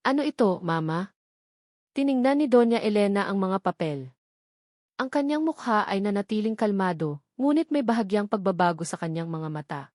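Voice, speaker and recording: A woman's voice: mid-range voice, expressive, brisk, a little mellow, no room sound, clean studio sound. A woman reading a scripted, dramatic story as its narrator.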